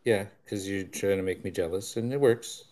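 Low, relaxed male co-host with a busy crowd-like bed behind him. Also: normal speed. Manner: laid-back, short reactions, joking. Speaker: podcast co-host. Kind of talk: casual chat.

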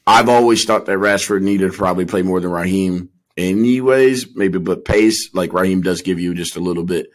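Low-voiced man talking sports casually. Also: moderate pace, hardly any pauses. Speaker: podcast co-host.

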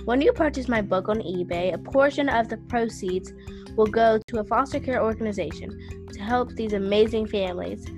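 A woman reading a scripted book advertisement in a bright manner. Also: a little high, normal speed, over music. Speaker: ad speaker. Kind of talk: read-out charity book ad.

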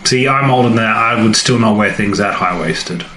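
A man's voice: on the low side, normal speed, bright, forceful tone. A man co-hosting a podcast in casual, conversational chat, reacting to his partner. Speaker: podcast co-host. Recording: echoey room, faint background noise.

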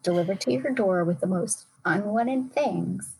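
A woman's voice: mid-range voice, a little slow, vocal fry, darkish tone, breathy edge, rough recording. A woman chatting casually as a guest, a little hesitant as she thinks aloud. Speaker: podcast guest, a parent. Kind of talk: casual chat.

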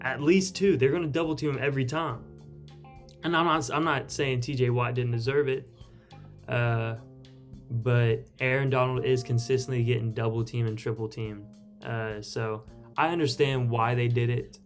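A man's voice: mid-range voice, steady tone, moderate pace. Man giving casual sports analysis, faint music. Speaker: sports podcast panelist.

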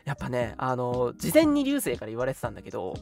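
Casual male radio co-host, mid-pitched and highly animated storyteller, light music underneath. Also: fast, vocal fry. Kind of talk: casual chat, recounting an anecdote.

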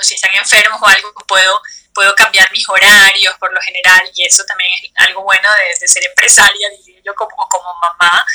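Woman from venezuela, quiet and halting, super bright sound, phone-ish line. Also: mid-range voice, low-quality recording. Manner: soft-spoken, hesitant, searching for words. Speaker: guest entrepreneur. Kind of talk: interview answers.

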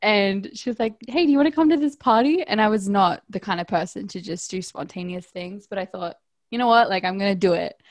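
A woman's voice: medium pitch, fairly quick. A woman, co-hosting a podcast over a phone call, upbeat and laughy, full of "like". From Australia. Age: 20s. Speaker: podcast co-host. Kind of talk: casual chat.

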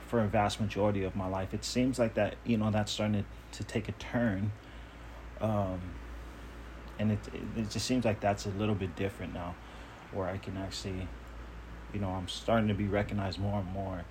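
A man's voice: lowish voice, a little mellow, a little hiss or hum, rough recording. A man talking on his own podcast in a casual monologue, earnest, self-assured and emphatic. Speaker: podcast host.

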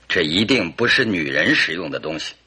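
An actor playing a keen-eyed, wry gentleman detective in a radio drama, husky and deliberate in delivery. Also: sits low, very animated, exaggerated voice. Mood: observant. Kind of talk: Chinese radio drama acting.

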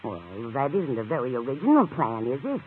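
A woman's voice: rumbling low, sing-song, fairly quick, breathy edge, heavily acted. An actress playing a sweet, absent-minded older landlady in an old-time radio comedy, fluttery and scatterbrained in manner. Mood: curious. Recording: narrow, hissy old radio broadcast.

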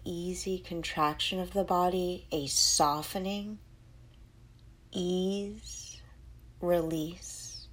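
A woman leading a guided meditation as host, giving soothing instructions in short phrases with pauses between them. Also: fairly low pitch.

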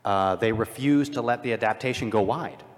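A man's voice: lowish voice, moderate pace. A man's voice rings through a big, reverberant hall. He talks as a live panelist, improvising with a wry, declamatory delivery and joking asides.

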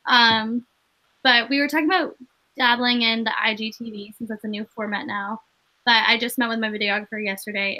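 Bright-voiced chatty young woman. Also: medium pitch, poor audio. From Maine. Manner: chatty, lots of "like" fillers. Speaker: guest, actress and comedian. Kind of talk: casual interview chat.

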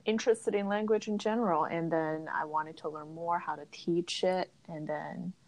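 A woman in her thirties from China, a guest English teacher, talking in an unhurried, thoughtful way with frequent fillers. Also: on the low side, fairly even intonation, a little mellow. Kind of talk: casual interview chat.

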